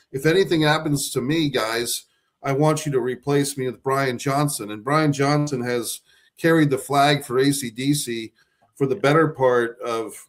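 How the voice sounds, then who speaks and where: A man co-hosting a podcast sits at a middle pitch and tells stories about the songs he loves. His talk is loose and meandering, full of restarts and trailing asides. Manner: rambling, with frequent restarts. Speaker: podcast co-host. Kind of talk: casual chat about favorite songs.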